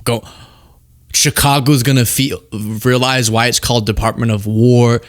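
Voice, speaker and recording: A man's voice: mid-range voice, steady tone, normal speed, dry recording, clean studio sound. A man hosting a political commentary show, talking casually and sarcastically, laughing often.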